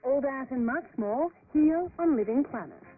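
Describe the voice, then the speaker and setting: A woman's voice: high-pitched, animated, normal speed. A woman hosting a radio magazine, reading a scripted introduction over a shortwave broadcast. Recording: thin, narrow-band shortwave radio.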